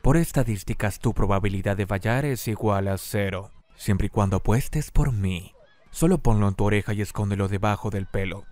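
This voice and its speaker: A man with a Latin American accent voicing a sly, sardonic, short-tempered schoolboy schemer in a cartoon dub, with a dry deadpan manner. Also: fairly low pitch, normal speed, no echo, lightly acted. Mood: deadpan, cool. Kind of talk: animated fan dub acting.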